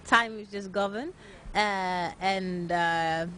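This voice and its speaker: A woman's voice: mid-range voice, slow pace, pushed and bright, slight background noise. A nineteen-year-old woman from Norway, a young fashion designer answering interview questions earnestly with many hesitant filler sounds. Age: late teens.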